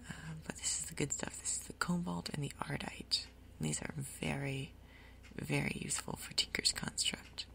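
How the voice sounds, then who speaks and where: Low, creaky, half-whispering woman. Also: steady tone, normal speed, bright, forceful tone, rough recording. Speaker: gaming video host. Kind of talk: soft-spoken ASMR game commentary.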